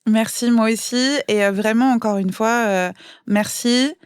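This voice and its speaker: A woman's voice: fairly high pitch, measured pace, clear, resonant tone, dry recording, clean studio sound. A woman from France, a guest author, talking through her thoughts in a casual podcast chat, with lots of hesitations and restarts. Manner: thoughtful, many fillers and restarts.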